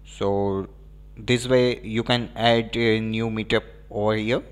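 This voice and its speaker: Hesitant low-voiced man with Indian English accent. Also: flat delivery, smooth. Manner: hesitant, frequent filler pauses. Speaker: software demo presenter. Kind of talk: screen-recorded software walkthrough.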